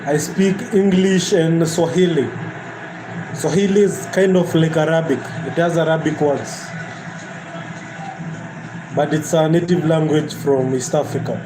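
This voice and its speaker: A man's voice: a little high, fairly even intonation, a little slow, pauses often. Laid-back man chatting, noisy background. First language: Kikuyu. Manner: laid-back, repeats himself, says "bro". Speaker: watch-along streamer. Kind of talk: casual chat.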